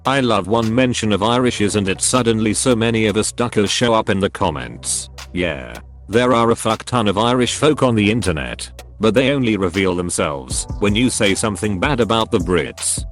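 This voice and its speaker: A man's voice: on the low side, expressive, moderate pace, few pauses, faint music behind. A man reading online stories and comments aloud as a scripted narration. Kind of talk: scripted narration of forum posts.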